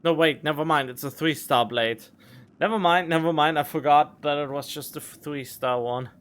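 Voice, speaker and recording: Playful male game streamer, slightly high voice. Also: normal speed, fairly bright tone, clear, resonant tone. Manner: playful, joking asides. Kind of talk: casual game commentary.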